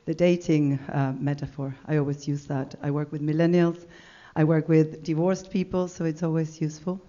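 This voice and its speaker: A middle-aged woman with an Argentine accent speaks to a room, chatty and animated, full of "um"s and restarts. Her voice sits on the low side. The event recording sounds dull and lo-fi. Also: normal speed. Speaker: conference speaker. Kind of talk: business talk to an audience.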